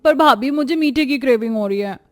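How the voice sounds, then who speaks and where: A woman acts out a haughty, pampered young sister-in-law in a cartoon family story. The voice is high, whiny and entitled, always wheedling or ordering. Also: fairly quick, faint music behind, close to an everyday voice. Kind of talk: acted Hindi cartoon moral story.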